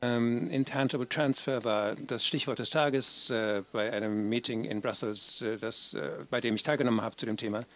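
A man interpreting a conference panel live, speaking in halting pieces and often correcting himself. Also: mid-range voice, a bit bright. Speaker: simultaneous interpreter.

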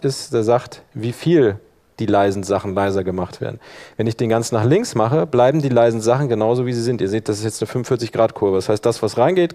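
A man leading a workshop, explaining informally and thinking aloud while he operates the software. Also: mid-range voice. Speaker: workshop instructor.

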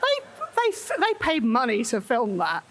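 A woman's voice: fairly high pitch, huge pitch swings, measured pace, slight background noise. A woman giving a conference talk in a wry, humorous way, laughing often.

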